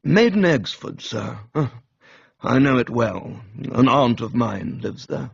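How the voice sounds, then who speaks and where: A man voicing a deferential English gentleman's valet in a comic radio reading, measured, formal and deadpan. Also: mid-range voice, gravelly, dull audio, a little hiss or hum, full character voice. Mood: calm deference.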